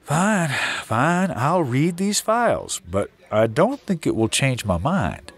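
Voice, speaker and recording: An actor playing a weary, skeptical sheriff in an audio drama. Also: male voice, fairly high pitch, very animated, takes it slowly, slightly rough, full character voice. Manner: weary, sceptical. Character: weary, skeptical small-town American sheriff.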